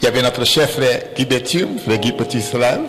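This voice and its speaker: A man from Quebec speaking informally in church and interpreting, halting as he searches for words. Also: mid-range voice. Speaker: visiting preacher, interpreter. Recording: music behind the voice.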